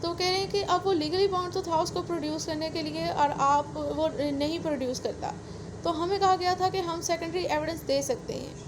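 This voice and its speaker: A woman's voice: extremely high pitch, steady tone, brisk, noisy background. A woman with a Pakistani accent giving an explanatory law lecture, going step by step and repeating key points. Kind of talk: explanatory lecture, reading out and explaining legal articles.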